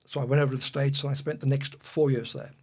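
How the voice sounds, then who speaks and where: A man's voice: medium pitch, little pitch movement, quick pace, a little mellow, husky. Older man from Wales, interview guest, meandering delivery with lots of ums. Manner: rambling with frequent fillers and restarts. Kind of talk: relaxed reminiscing.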